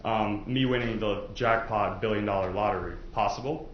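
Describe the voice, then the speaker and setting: Muffled, echoey, low-voiced male prosecutor. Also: steady tone, normal speed. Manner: conversational, chatty, uses everyday examples. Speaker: prosecutor questioning prospective jurors. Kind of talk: informal courtroom jury questioning. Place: courtroom. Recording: echoey, muffled, noisy courtroom recording.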